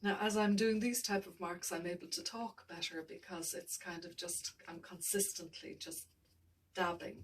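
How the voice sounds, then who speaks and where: A woman with a lowish, flat voice talks through a painting lesson, half to herself, mumbling and letting sentences trail off. She sits a little back from the microphone, with faint room noise behind her. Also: normal speed, distant mic. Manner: thinking aloud, mumbling, trailing off. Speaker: art tutor. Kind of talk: painting tutorial narration.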